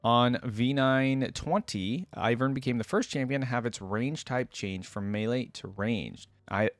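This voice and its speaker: A man's voice: mid-range voice, no room sound. Casual male reaction host, dry close sound. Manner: enthusiastic, informal, often reacting aloud. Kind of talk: casual gaming reaction commentary, reading wiki text aloud.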